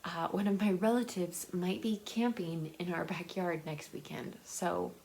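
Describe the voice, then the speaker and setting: A woman's voice: on the low side, fairly even intonation, measured pace, vocal fry, noisy background. A woman chatting casually and enthusiastically to viewers in a rambling, conversational book vlog. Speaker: book vlogger.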